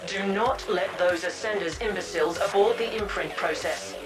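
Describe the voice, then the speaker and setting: A woman's voice: on the low side, normal speed, lightly acted. A woman playing a cool, articulate futuristic operative in a video game, formal and dryly threatening. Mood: urgent briefing. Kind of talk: video game character acting.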